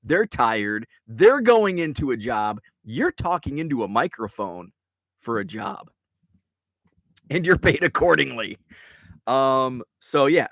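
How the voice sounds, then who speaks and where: A man's voice: medium pitch, a bit bright. A middle-aged male host chats loosely on his show, sounding tired and a little stuffed up. His voice swings up and down a lot as he rambles through restarts and tangents. The sound is dry and close, with no room echo. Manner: rambling and chatty, with many restarts and asides. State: tired and congested. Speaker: host of a card-collecting show. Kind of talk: casual live-show chat. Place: card room.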